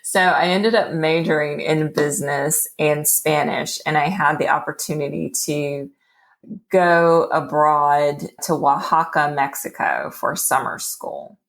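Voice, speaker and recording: A woman in her forties from Texas, a podcast guest telling her life story in an unhurried, thoughtful way with small laughs. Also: low-pitched, steady tone, gravelly. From Texas, USA. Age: 40s. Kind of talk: relaxed storytelling.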